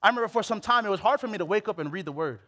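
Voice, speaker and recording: Young man from Hawaii, very high voice, animated preacher, restarts a lot, headset mic, big hall reverb. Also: racing. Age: late 20s. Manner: animated, exclamatory, with many restarts. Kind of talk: sermon. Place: church stage.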